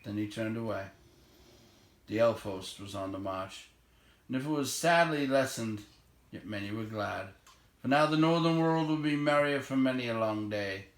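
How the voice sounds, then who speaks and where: A man's voice: fairly low pitch, moderate pace, echoey room. A man narrating, reading a storybook aloud.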